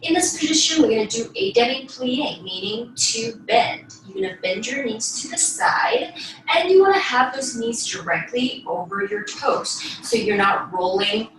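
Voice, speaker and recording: A woman's voice: fairly high pitch, big pitch swings, normal speed, a bit bright, soft background music. A young woman giving step-by-step instructional class talk as a ballet teacher, demonstrating as she speaks. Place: small bedroom, indoors. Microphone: far camera across the room. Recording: echoey room, distant mic, faint noise.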